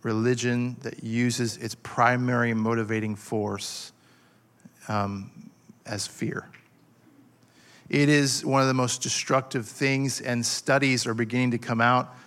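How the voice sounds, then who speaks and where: A man's voice: medium pitch, flat delivery, fairly bright tone. Male pastor, 40s, fervent sermon delivery, stop-start phrasing, mid-range voice. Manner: impassioned, halting restarts with frequent fillers.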